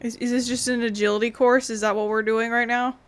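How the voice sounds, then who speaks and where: A woman's voice: a little high, little pitch movement, fast, clear, resonant tone, faint music behind. A woman gaming and giving casual, playful commentary, half talking to herself. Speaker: game streamer. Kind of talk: casual let's play commentary.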